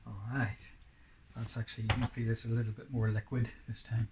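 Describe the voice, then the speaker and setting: A man giving casual hands-on repair narration, halting and half talking to himself as he works. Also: mid-range voice, flat delivery. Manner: muttering to himself while working, halting. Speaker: DIY repair presenter. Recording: clearly noisy, with clicks and tool handling.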